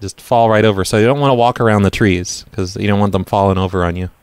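A man's voice: fairly low pitch, steady tone, fast, clear, resonant tone, dry recording, close to an everyday voice. A man playing a blundering, casually unapologetic lawn care worker on a prank call, hesitant but blunt in the way he talks. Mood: nonchalant. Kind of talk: improvised prank phone call.

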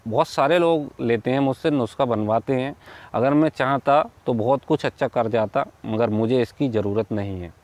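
A man's voice: medium pitch, moderate pace, darkish tone. Man explaining things informally. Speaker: herbal remedy seller and video host. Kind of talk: informal explanatory talk to camera. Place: outdoors in a wooded clearing.

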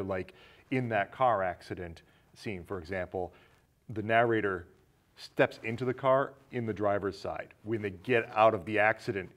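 A man in his thirties co-hosting a casual film discussion, questioning and piecing thoughts together as he goes. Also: fairly low pitch. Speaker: film discussion show co-host. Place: small indoor studio set with curtain.

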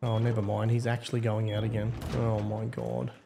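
A man's voice: fairly low pitch, completely flat delivery, a little fast, vocal fry, very noisy. A man giving casual, slangy, wry gameplay commentary. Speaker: host, game server admin.

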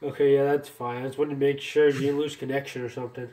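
A man's voice: medium pitch, level tone, fast, dark tone. An American man chatting casually as a radio operator on a two-way radio. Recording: noisy, distant mic beside handheld radio.

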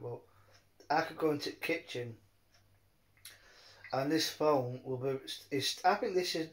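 A man chatting casually in a rambling, halting way, often repeating his words. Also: fairly high pitch. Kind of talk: rambling casual phone chat.

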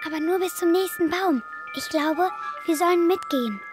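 A woman's voice: extremely high pitch, normal speed. A woman playing an earnest, compassionate, trusting little girl in a German audio drama. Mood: earnest, pleading. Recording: music under the voice.

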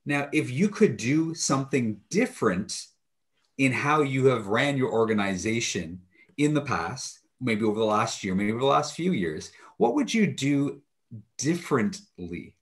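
A man's voice: medium pitch, sing-song, unhurried. A man in his thirties hosting an interview on a video call with a headset, thanking his guest and relaying questions with hesitant fillers. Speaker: interview host. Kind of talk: interview moderation. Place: home office.

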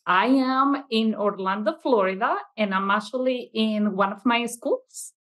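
A woman's voice: a little high, a little slow, a bit bright. Venezuelan-accented woman giving interview answers. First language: Italian first language, raised in Venezuela. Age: middle-aged. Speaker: interview guest, childcare school owner. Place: classroom, video call.

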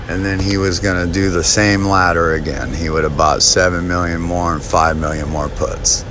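A man talking casually to his viewers about a stock chart, halting with many ums and restarts. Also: sits low, flat delivery, background noise. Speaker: stock market video host. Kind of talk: casual talk over a chart screen share.

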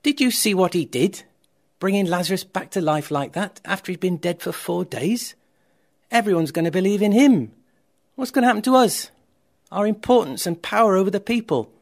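A man's voice: high voice, animated, moderate pace, vocal fry, voice pushed up, heavily acted. A man voicing an alarmed, jealous Pharisee in a told Bible story, urgent and indignant. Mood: panicked, indignant.

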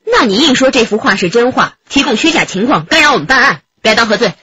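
Indignant young female detective, radio drama. High, forceful, slightly raspy. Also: lively intonation, fast, no room sound, full character voice. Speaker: actor in a police radio drama. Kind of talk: Chinese radio drama acting.

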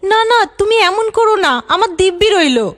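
A woman's voice: very high-pitched, lively intonation, unhurried, soft background music. A woman voicing an earnest, principled village wife in a cartoon, with a pleading manner. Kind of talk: cartoon voice acting.

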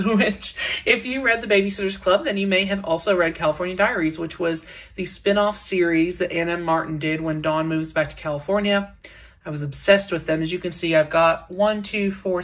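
Young adult American female vlogger, slightly low register, informal and chatty, mildly sniffly, handheld home recording. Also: bright, forceful tone. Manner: chatty, informal, uses slang. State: sick with a sniffle. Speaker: book vlogger and channel host. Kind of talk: casual shelf-tour chat. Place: indoors in a home library room.

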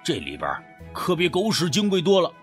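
A man's voice: high voice, wildly swooping pitch, a little fast, faint music behind. A man voicing a sly, teasing, superstitious friend who wheedles and drawls in an audiobook story. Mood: coaxing, mysterious.

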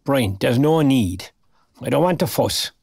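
A man's voice: medium pitch, lively intonation, a little slow, gravelly, a little mellow, husky, no echo, clean studio sound, full character voice. An actor with an Irish Cork accent playing a mild, dignified retired man in a naturalistic audio drama. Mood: hesitant, uneasy. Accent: Irish, County Cork. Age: older.

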